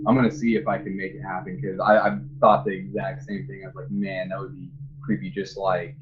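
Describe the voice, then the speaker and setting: A man's voice: low voice, flat delivery, brisk. A man talking casually and conversationally as a game developer being interviewed. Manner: informal, conversational. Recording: dull lo-fi voice with faint noise.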